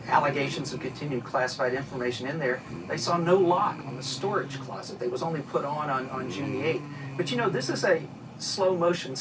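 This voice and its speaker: A man commenting as an expert guest on a news show, telling events like an animated storyteller. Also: on the high side. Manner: animated storytelling, acts out quotes. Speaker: expert guest from an archive research group. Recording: noisy, distant-sounding audio.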